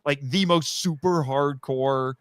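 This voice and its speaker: A man's voice: fairly high pitch, takes it slowly, smooth, no echo. A man talking casually as a podcast guest and audio drama writer, chatty and rambling, with frequent asides.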